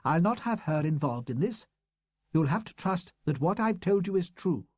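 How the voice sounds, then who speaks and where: A proud, bitter older schoolteacher, as a male narrator puts her on, speaks in clipped, indignant phrases. The voice sits higher than the reader's own, with a mellow, slightly husky tone in a dry, close recording. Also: lively intonation, normal speed, dry recording.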